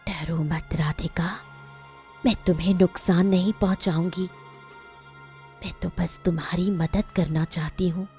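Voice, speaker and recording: Woman voicing a slow, sorrowful young ghost queen. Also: fairly low pitch, fairly even intonation, mellow, faint music behind. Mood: sorrowful, solemn.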